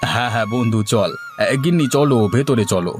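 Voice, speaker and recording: Guy doing a mild village husband in a cartoon, gently protesting, average pitch, faint music under it. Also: animated, a little fast, lightly acted. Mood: agreeable. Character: mild well-off village husband, polite, placating. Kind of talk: Bengali cartoon story dialogue.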